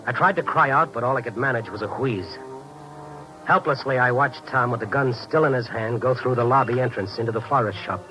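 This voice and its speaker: Man acting as brisk hardboiled American radio reporter. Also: mid-range voice, sharp tone, lightly acted, low-quality recording. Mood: wry, tense narration. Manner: wry hardboiled storytelling. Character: male night-beat newspaper reporter, wry, dogged, lean, loyal friend. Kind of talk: 1950s radio drama acting and hardboiled narration. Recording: old 1950s radio recording, music behind.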